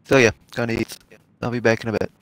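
A man chatting casually and a bit haltingly while gaming. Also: medium pitch, little pitch movement, no echo, low-quality recording. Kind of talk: casual chat during gaming.

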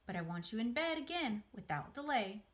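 A woman's voice: a little high, sing-song, fairly quick. A woman voicing a wise female spider from a storybook, comforting and motherly, giving firm, reassuring instruction.

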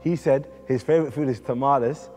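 A man's voice: mid-range voice, a little fast, warm tone, long reverb tail, faint music behind. A man joking around as a game show contestant, laughing often. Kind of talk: playful banter.

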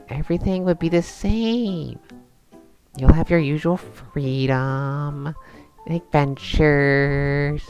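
A woman voicing a lovestruck, earnest young female hedgehog in a cartoon comic dub, sweet but pushy, with coy, drawn-out emphasis. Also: low-pitched, darkish tone. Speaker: voice actor in a fan comic dub.

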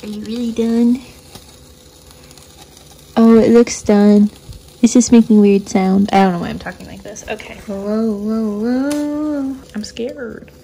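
A young woman chatting casually in her home kitchen at night, giggling and often dropping to a hushed voice, recorded on a phone camera on the counter. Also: on the high side, takes it slowly, pauses often, slight background noise. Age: young adult. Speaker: vlogger. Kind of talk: casual cooking vlog chat.

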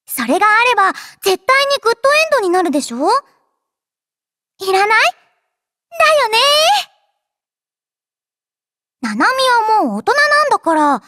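A woman's voice: extremely high pitch, sing-song, brisk, pauses often, fairly bright tone, no room sound, studio quality, exaggerated voice. A woman voicing a cheerful, childlike android girl in an anime game, playful and boastful in manner. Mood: teasing. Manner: playful, childlike, refers to herself by name. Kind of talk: anime game character voice lines. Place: dry studio.

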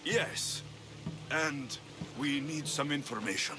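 A man's voice: a little high, takes it slowly. An actor playing a young, determined and curt male Greek mercenary adventurer in a video game. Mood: determined, probing. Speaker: playable protagonist. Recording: music under the voice. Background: background music.

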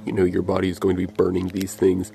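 Young male outdoor vlogger, casual explainer; deep, mellow delivery. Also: monotone, fast, creaky. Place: outdoors in woods. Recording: faint background noise outdoors.

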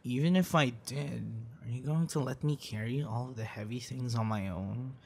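A man's voice: mid-range voice, normal speed, vocal fry, a little mellow, a little hiss or hum, close to an everyday voice. A young man acting a teasing, friendly boyfriend figure in an intimate, casual audio roleplay. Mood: casual, playful. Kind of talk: ASMR boyfriend roleplay, intimate one-sided dialogue.

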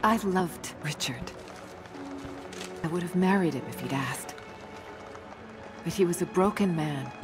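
A woman's voice: lowish voice, unhurried, long pauses, over music, natural voice. A woman playing a concerned, sceptical middle-aged family friend in a video game. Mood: sorrowful, sceptical. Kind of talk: cinematic video game acting.

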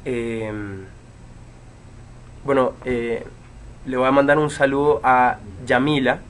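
A man in his twenties, from Chile, casually answering fan questions on a livestream from a room at home, relaxed, with many fillers and pauses. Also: mid-range voice, a little hiss or hum. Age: 20s. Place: home room with bookshelves, indoors. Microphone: webcam.

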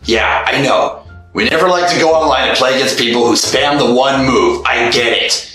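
A man voicing a tiny, hot-tempered male killer doll who shouts and takes offense at everything. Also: medium pitch, normal speed, natural voice. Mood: insistent. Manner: indignant, shouting, easily insulted. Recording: echoey room, faint music.

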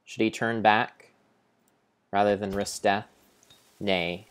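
A young man with a very deep voice narrates gameplay as if reading a heroic storybook. His delivery is deliberate and halting, with mock-grand phrasing made up as he goes. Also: fairly even intonation, clear, resonant tone. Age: young adult. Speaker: game streamer. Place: indoor room at home.

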